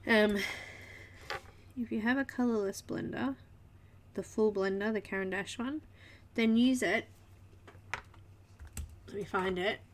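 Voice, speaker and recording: A woman's voice: medium pitch, unhurried, long pauses, slight background noise. A woman with an Australian accent hosting a live coloring tutorial, chatting and giggling as she works at her desk. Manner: chatty, giggly, thinking aloud while drawing. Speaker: art stream host.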